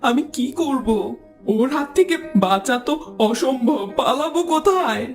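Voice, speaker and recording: Woman voicing a fretful, high-pitched cartoon bird. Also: animated, a little slow. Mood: frightened. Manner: plaintive, complaining. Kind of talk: cartoon character voice acting. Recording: faint music under the voice.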